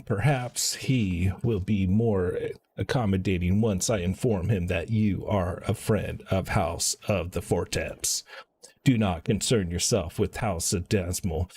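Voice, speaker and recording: A man voicing an earnest, formal nobleman in a fantasy game, with a halting delivery. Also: low-pitched, a little hiss or hum. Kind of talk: reading game dialogue aloud.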